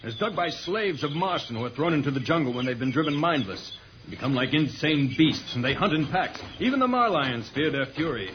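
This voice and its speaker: An actor playing an earnest, protective space hero and guide, speaking gravely in old-time radio serial style. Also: male voice, a little high, lively intonation, brisk, lightly acted. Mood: worried, serious. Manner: grave, explanatory. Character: earnest, protective male space hero guiding through jungle. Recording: muffled old radio transcription, loud noise.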